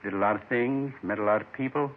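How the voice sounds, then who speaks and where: An actor playing a lonely, gentle man in his seventies, folksy and wistful, confessing in short rambling phrases in 1950s radio drama style. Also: fairly low pitch, quick pace, lightly acted. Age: 70s. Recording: muffled 1950s radio recording.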